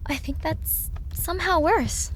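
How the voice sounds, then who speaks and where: A woman's voice: extremely high pitch, unhurried, a little hiss or hum. A woman playing a skeptical, anxious young woman in an audio drama, questioning everything in an emphatic, incredulous way. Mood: skeptical, uneasy.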